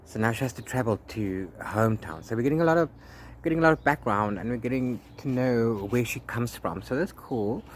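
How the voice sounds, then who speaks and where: A man talking casually as a book vlogger, rambling in a halting way with repeated words and restarts. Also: mid-range voice. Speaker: book vlogger, read-along co-host. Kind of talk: casual rambling video diary about a book. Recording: clear background noise, ambient sound.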